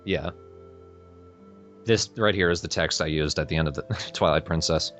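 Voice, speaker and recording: A man's voice: extremely low pitch, moderate pace, a bit bright, lo-fi sound. A man hosting a let's play, chatting casually and dryly with a co-host and swearing freely. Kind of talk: casual gameplay commentary with a co-host. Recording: game music under the voice. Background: video game soundtrack.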